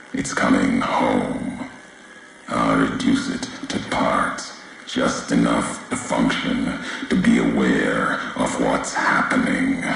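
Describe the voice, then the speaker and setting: An actor playing a brooding, vengeful wasteland courier in a video game, grave and world-weary, speaking solemnly and deliberately in clipped, cryptic phrases with a gravelly voice. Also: male voice, a bass voice, heavy reverb, slight background noise, heavily acted, poor audio. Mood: cold menace.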